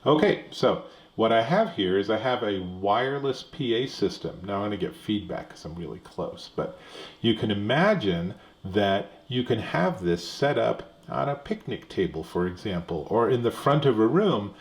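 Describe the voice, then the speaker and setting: A man's voice: on the low side, lively intonation, normal speed, husky. A man giving a casual, conversational product demonstration as an enthusiastic tech reviewer.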